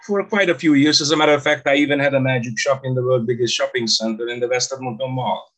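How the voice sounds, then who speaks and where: Older hungarian guy, accented, mid pitch, telling his story in an interview, kinda halting. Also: fairly even intonation, a bit bright. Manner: halting, non-native phrasing. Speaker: interview guest, stage hypnotist. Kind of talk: casual storytelling about own career.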